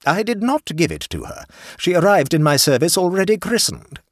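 A man's voice: sits high, huge pitch swings, a little fast, dry recording, studio quality, pitched above the performer's own voice, full character voice. A man reading an audiobook, voicing a stern, imperious older aunt who speaks coldly and firmly. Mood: indignant. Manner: cold, firm, magisterial. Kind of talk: audiobook character voice.

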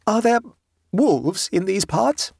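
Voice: medium pitch, big pitch swings, fairly quick, slightly rough, no room sound, studio quality, voice pushed up. A narrator voicing an anxious, dramatic male train traveller in a storybook, urgent and tremulous. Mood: alarmed.

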